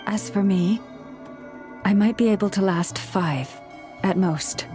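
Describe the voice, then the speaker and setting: Game casting: an actress as gentle young priestess, solemn and earnest, medium-pitched, soft measured delivery. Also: female voice, over music, close to an everyday voice. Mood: grave. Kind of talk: video game voice acting.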